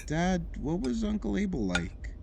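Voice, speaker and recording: A man's voice: high-pitched, wildly swooping pitch, unhurried, gravelly, noisy background, heavily acted. A man playing a casual, teasing and curious teenage boy in an audio drama. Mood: curious, puzzled.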